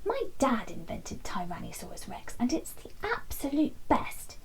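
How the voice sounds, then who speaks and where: A woman narrating a children's book as an expressive bedtime story, animated, with dramatic pauses. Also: high-pitched, whispered.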